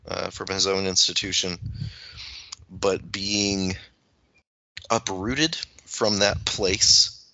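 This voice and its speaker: Very deep, bright-edged man, halting. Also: no echo, dull audio. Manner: halting, searching phrasing with repeated words. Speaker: podcast panelist. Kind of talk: reflective podcast discussion.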